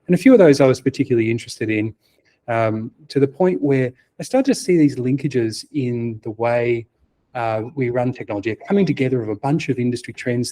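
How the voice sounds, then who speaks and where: A middle-aged man giving a technical conference talk, soft-spoken with a quiet voice. He hesitates often, fills with "um" and restarts, and drops wry asides. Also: medium pitch. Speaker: conference speaker.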